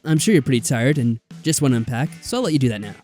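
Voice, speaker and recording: A man's voice: medium pitch, animated, very fast, darkish tone, close to an everyday voice. A man voicing a friendly, offhand young male student in a visual novel game. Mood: relaxed, friendly. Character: easygoing, friendly young male scholar at a hostel. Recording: background music.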